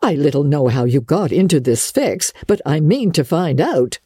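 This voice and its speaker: A woman voicing a stern older spinster guardian who scolds briskly and sarcastically. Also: low-pitched, big pitch swings, warm tone, slightly rough, no room sound, studio quality, heavily acted. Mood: scolding. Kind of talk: audiobook character reading.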